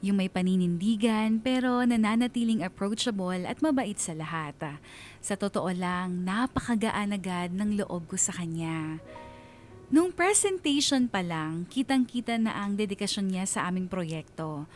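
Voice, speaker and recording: A woman's voice: mid-range voice, lively intonation, unhurried, darkish tone, natural voice. An actress playing a wistful woman in her late twenties with a Filipino accent, narrating her love story in a radio drama. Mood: fond, reflective. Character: wistful twenty-nine-year-old female bank manager recounting lost love.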